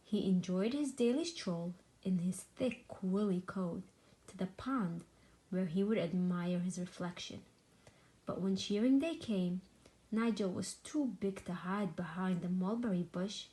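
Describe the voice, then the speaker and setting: A woman's voice: lowish voice, a little slow, mellow, poor audio. A woman reading a picture book aloud to young children, expressively and simply, with short explanatory asides.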